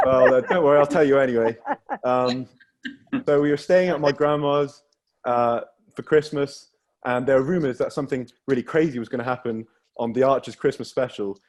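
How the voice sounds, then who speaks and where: A man's voice: mid-range voice, moderate pace, a little mellow. A young man from Wales performing a self-deprecating stand-up set over a video call, often laughing through his own jokes. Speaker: stand-up comedian.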